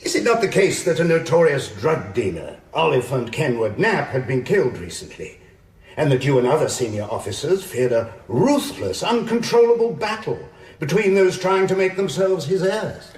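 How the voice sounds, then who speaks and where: An actor playing a supercilious defence barrister, formally and precisely pressing a witness in a courtroom radio drama. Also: male voice, a little high, sing-song, moderate pace, a little mellow. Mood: insistent. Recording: echoey courtroom acoustic.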